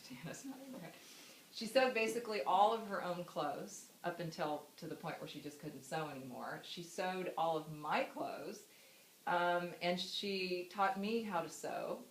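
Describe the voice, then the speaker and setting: A woman's voice: fairly low pitch, a little slow, echoey room, a little hiss or hum, low-quality recording. A woman in her fifties from the United States giving a memorial speech about her mother, telling stories with laughs and fillers. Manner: warm storytelling with frequent laughs and fillers. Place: memorial gathering room.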